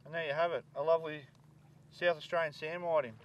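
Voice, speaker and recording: A man hosting a fishing show outdoors on tidal flats, chatting casually and upbeat about his catch. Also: fairly high pitch, fast talker. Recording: distant, dull outdoor sound with light noise.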